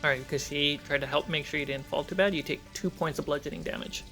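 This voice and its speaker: Male game master narrating over soft music. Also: on the high side, fairly even intonation, fast. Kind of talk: narrating a tabletop fantasy game.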